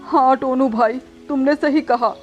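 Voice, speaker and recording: Father bird, voiced by a woman: earnest, reassuring cartoon husband, higher-pitched, music behind. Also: steady tone, unhurried.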